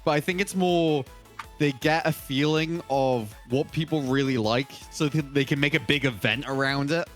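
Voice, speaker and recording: A man chatting as a podcast panelist, explaining things casually with halting repeats. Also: fairly high pitch, faint music behind.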